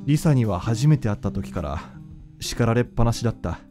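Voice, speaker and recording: A man's voice: medium pitch, animated, a little fast, over music, lightly acted. A man playing an aloof but tender young boyfriend in a radio drama, blunt and a little bashful. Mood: reflective. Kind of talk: Japanese radio drama acting and narration.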